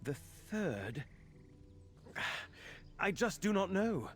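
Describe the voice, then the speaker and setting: High-voiced, flustered mage. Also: male voice, very animated, very slow, faint music behind, exaggerated voice. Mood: worried explaining. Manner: fretful, earnest. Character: anxious, flustered male mage's assistant. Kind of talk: scripted game character dialogue.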